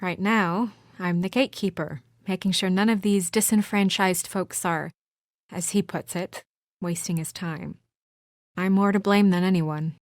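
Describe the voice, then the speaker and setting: A woman playing an earnest, weary and conscientious young administrator in a video game, speaking in a measured, serious, sometimes hesitant way. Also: lowish voice, fairly even intonation, dry recording, clean studio sound. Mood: hesitant, sincere. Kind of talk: naturalistic video game voice acting.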